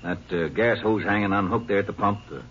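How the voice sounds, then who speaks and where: An actor playing a folksy small-town sheriff in a 1950s radio drama, with a drawling, plain manner. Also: male voice, fairly low pitch, brisk, fairly bright tone, slight background noise, lightly acted. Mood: matter-of-fact. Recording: dull lo-fi old radio recording.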